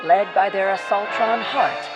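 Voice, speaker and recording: Woman narrator, dramatic radio play storytelling, lowish voice with music under it. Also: unhurried.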